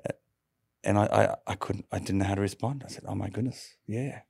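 Middle aged aussie guy chatting in an interview, lowish voice w lots of fry, dry close sound. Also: fairly even intonation, brisk, darkish tone, no room sound. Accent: Australian. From Sydney, Australia. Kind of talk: conversational interview, personal storytelling.

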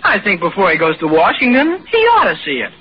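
A man's voice: sits high, very animated, fast, over loud music. An actor playing an earnest, friendly young small-town man, hesitant and boyish, in a 1940s radio drama. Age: young adult. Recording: muffled, hissy vintage 1940s radio broadcast.

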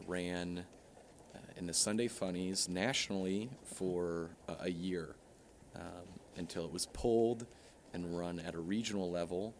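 A man speaks in a deep, even voice with very little melody. He hesitates constantly, restarting sentences and filling gaps with uh. His delivery stays deadpan, with faint background hiss. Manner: hesitant, halting, full of uh and restarts. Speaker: interview guest. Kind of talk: comedic mock interview.

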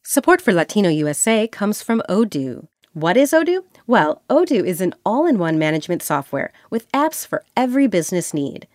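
Lively woman reading an ad. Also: medium pitch, moderate pace, hardly any pauses, no echo, clean studio sound. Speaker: sponsor announcer. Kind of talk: scripted advertisement read. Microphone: close studio mic.

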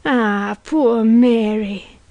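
Woman playing a pious neighbour, drawn-out lamenting. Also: on the high side, steady tone, creaky, darkish tone, no room sound, lo-fi sound. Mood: gushing sympathy. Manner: sobbing, sing-song, churchy lamenting. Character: pious motherly neighbour woman, sanctimoniously effusive in sympathy. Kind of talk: literary audiobook character acting.

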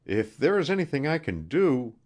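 Man playing a blunt, earnest adventurer, pitched up. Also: sing-song, measured pace. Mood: concerned. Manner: direct, questioning, sometimes harsh. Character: earnest, blunt male adventurer, concerned for friend.

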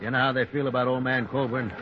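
A man's voice: mid-range voice, level tone, fast, lightly acted. An actor playing an uneasy townsman speaking for a mob in a 1950s radio drama. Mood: urgent. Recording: noisy, muffled vintage radio recording.

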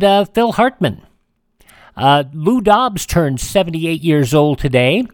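A radio host reading this-day-in-history items in an informal scripted style, halting at times and correcting small stumbles. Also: medium pitch, no echo, studio quality.

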